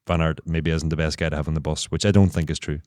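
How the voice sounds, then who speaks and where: A very low-pitched man talks through his reasoning in long, winding explanations. He sounds like a podcast co-host on a close, dry mic. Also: level tone, quick pace, a little mellow, no echo. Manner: analytical, builds long explanations with restarts. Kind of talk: casual podcast chat.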